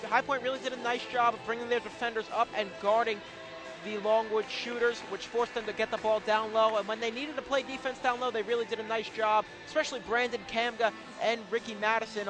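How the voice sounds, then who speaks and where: A man with a strikingly high voice gives color commentary on a basketball game. He breaks down the play for radio listeners from inside the arena, with clear sound behind him. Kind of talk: live basketball analysis. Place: indoor college basketball arena.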